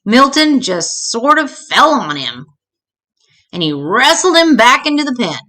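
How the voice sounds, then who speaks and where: A woman reading a children's book aloud in an expressive storytelling style, shifting her pitch to act out dialogue. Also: unhurried. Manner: acts out dialogue with changing pitch.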